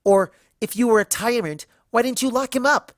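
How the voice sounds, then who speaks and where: A narrator voicing an indignant, high-pitched young unicorn mare. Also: normal speed, no echo, clean studio sound, natural voice. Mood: accusing, hurt. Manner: sharp, cutting, rhetorical questioning. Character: indignant young female unicorn student, sardonic and accusing.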